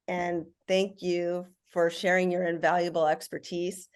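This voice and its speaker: A woman's voice: medium pitch, a little mellow. A middle-aged woman hosting and moderating a panel with a formal, welcoming script.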